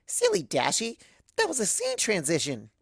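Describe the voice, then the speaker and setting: A woman voicing an excitable, silly young female cartoon pony with chirpy, gushing delivery. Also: fairly high pitch, moderate pace, vocal fry, husky, dry recording, studio quality, voice pushed up, exaggerated voice. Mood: playful, excited. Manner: chirpy, gushing, childlike phrasing. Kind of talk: first-person cartoon fan fiction narration.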